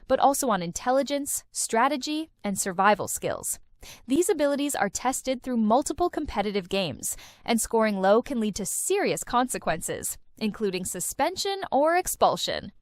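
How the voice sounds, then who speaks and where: Lively high-voiced woman narrating. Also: dry recording. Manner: enthusiastic recommending tone. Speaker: video host. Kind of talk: scripted list-video narration.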